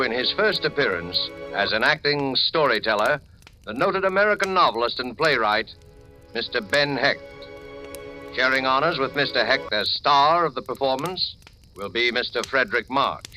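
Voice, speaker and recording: A man's voice: mid-range voice, pushed and bright, low-quality recording. A man announcing a 1940s radio suspense series in a formal, dramatic scripted style on an old recording.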